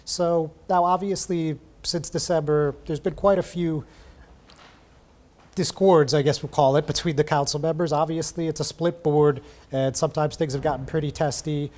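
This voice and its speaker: A man's voice: a little high, steady tone, moderate pace, darkish tone. A man hosting a local political talk show, chatting casually with lots of fillers. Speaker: TV news talk show host.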